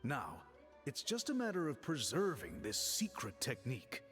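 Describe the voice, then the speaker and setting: Dignified game chief, formal, deliberate, expressive pitch swings, mid-range, music behind. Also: male voice. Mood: thoughtful.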